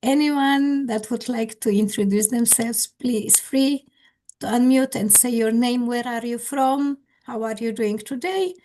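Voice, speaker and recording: A woman with a Polish accent, leading an online English conversation class as its teacher, with careful, halting non-native phrasing. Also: on the high side, dark tone. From Poland. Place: indoors on a video call.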